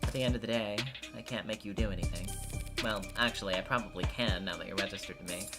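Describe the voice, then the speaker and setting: A woman voicing a cynical, sharp-witted investigator in a video game, dry, sardonic and offhand. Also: rumbling low, fast, over loud music. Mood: wry pressure. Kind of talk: voice acting a visual novel game.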